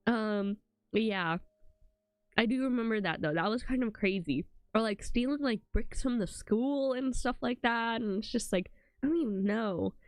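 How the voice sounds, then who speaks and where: A woman's voice: on the high side, normal speed, a little mellow, no echo. Young woman, congested, stuffy voice, rambling, filler-heavy, casual stream chat, dry close sound, faint music behind. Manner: rambling, full of "like" and "um".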